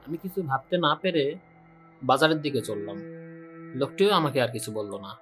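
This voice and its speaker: A young man narrating a spooky story in the first person, calm and steady. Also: mid-range voice, normal speed, soft background music.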